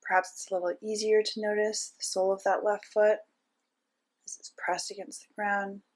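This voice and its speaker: A woman narrating a guided meditation as a teacher, giving gentle step-by-step instructions with frequent pauses. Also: mid-range voice, little pitch movement.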